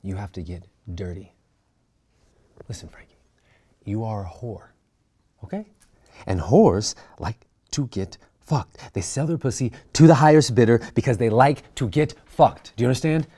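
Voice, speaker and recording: A man's voice: medium pitch, animated, normal speed, long pauses, warm tone, slight background noise, close to an everyday voice. A man reading a crude, bullying boss realtor. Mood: lecturing. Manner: crude, domineering, barking insults. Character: abrasive foul-mouthed male boss realtor. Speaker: actor in a script table read. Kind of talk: script table reading.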